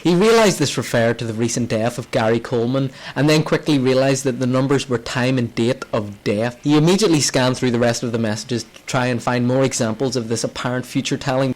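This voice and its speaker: A man's voice: medium pitch, low-quality recording. A man narrating a scripted creepy story, with informal asides. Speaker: narrator of a video game horror story channel.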